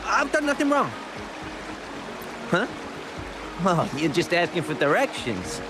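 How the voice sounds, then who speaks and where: A man's voice: high voice, huge pitch swings, unhurried, music in the background, exaggerated voice. A man playing a nervous, spiteful townsman in a video game, stammering and conspiratorial as he talks. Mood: startled, flustered. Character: nervous, gossipy, spiteful male townsman spreading rumours.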